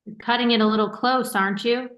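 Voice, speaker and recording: A woman's voice: a little high, fairly even intonation, quick pace, fairly bright tone, natural voice. A young woman playing a teasing, affectionate older sister with a dry, casual manner in a staged reading of a play.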